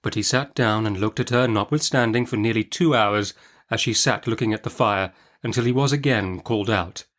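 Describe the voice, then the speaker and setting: A man reading a classic novel aloud as an audiobook narrator, in an expressive literary storytelling style. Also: fairly low pitch, moderate pace.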